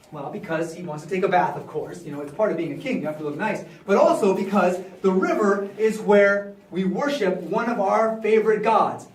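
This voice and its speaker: Casting: male storyteller as boastful Egyptian court magician, high-pitched, animated, chatty modern phrasing, heard in a roomy echo. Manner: animated, conversational, acting out the other characters. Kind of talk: live in-character Bible storytelling, casual modern speech. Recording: echoey room, far from the mic.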